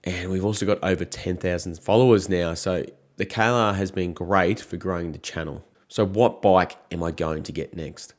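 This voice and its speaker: A man in his thirties, croaky from a cold, chatting casually and frankly to camera as a motorcycle vlogger. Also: sits low, steady tone, moderate pace, few pauses.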